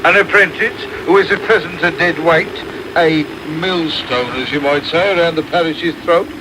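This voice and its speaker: Self-important beadle, played by a man, oratorical, slightly high voice, period radio play on noisy medium-wave tape. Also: normal speed, natural voice. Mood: wheedling, satisfied. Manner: pompous, oratorical. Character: pompous, self-important male parish beadle. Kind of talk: radio period drama acting.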